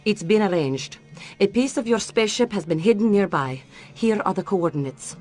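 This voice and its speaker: A woman's voice: mid-range voice, lively intonation, normal speed, darkish tone, soft background music, close to an everyday voice. An actress playing a self-assured, teasing female spy in a video game, with a flirtatious manner. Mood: cool, playful. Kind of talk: video game cutscene acting.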